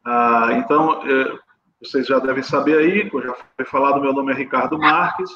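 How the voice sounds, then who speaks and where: A man from Brazil giving an explanatory talk as a guest speaker, measured and hesitant, often checking for agreement. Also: medium pitch. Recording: roomy, dull audio with background noise.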